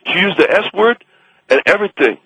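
A brash young male caller with a husky, fairly high voice that swoops around a lot as he argues and mocks. The sound is dry and close, with no room around it. He talks crudely and needles the other people on the line. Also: animated, brisk, fairly bright tone, no room sound, rough recording. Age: young adult. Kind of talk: heated talk radio call.

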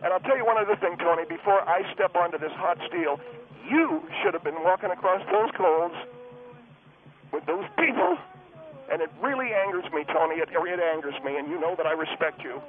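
A man voicing an excitable, touchy, self-justifying husband in a comic radio act, over a tinny phone line. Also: high-pitched, brisk, voice pushed down. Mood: pompous, flustered. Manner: indignant, blustering, self-justifying. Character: excitable, touchy husband attempting a hot-steel walk.

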